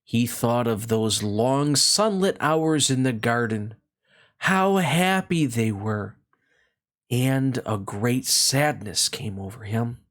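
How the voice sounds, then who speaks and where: A woman narrates a children's story, reading from a book. Her voice is extremely low for a woman. She takes the text slowly, as a read-aloud storyteller. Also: animated.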